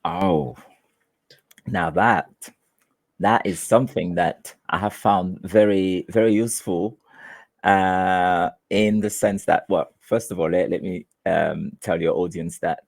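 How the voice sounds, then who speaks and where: A man from Haiti whose first language is Haitian Creole, a teacher answering interview questions in a halting way with many restarts. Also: low-pitched, creaky, dry recording. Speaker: teacher and guest.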